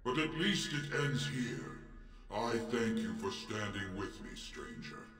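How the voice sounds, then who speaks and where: An ancient, solemn golem from a fantasy game, deep and distant in an echoing hall with music behind. He speaks formally, with weary resignation. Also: expressive, takes it slowly, a bit bright, heavily acted.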